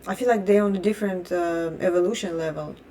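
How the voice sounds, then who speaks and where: Young Russian-born woman, native Russian speaker, fiery profane vlog rant, low-set pitch. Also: quick pace, dark tone, slight background noise. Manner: blunt, heated and profane; repeats and restarts phrases. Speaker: vlogger. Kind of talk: opinion rant.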